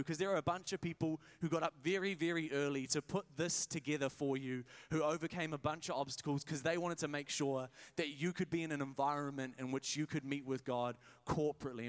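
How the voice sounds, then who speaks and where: A young man preaches to his church, full of energy and quick jokes. He keeps restarting his phrases as he goes. His voice sits a little high and comes through smooth and clear. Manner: energetic, many restarts, jokey asides. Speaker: pastor. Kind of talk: sermon. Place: church service in a hired venue.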